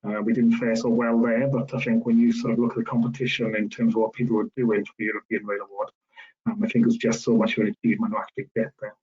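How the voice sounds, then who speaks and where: A man in his late thirties with a South Welsh accent, talking easily about his working life and filling his pauses with ums. His delivery stays fairly level in tone. The sound is dull and lo-fi, as on a video call. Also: lowish voice, slight background noise. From South Wales. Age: 30s. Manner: relaxed, conversational, frequent ums. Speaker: railway professional giving a careers talk. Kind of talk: casual career talk.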